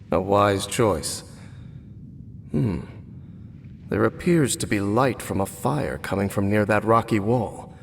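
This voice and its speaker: An actor playing a grave, brooding wandering swordsman who speaks in a slow, formal, solemn way in a game cutscene. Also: on the low side, lively intonation, vocal fry, slight background noise, heavily acted. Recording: game audio with long reverb and music.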